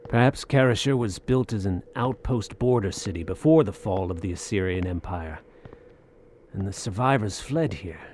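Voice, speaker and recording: An actor playing a bold, greedy Western soldier of fortune in an audio drama, speaking in halting, theatrical phrases. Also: male voice, fairly low pitch, darkish tone, a little hiss or hum, lightly acted. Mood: awed.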